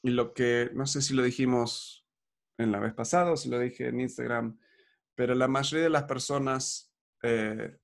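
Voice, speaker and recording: A man, coaching in a casual chat, upbeat and peppered with fillers. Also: medium pitch. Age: 40s.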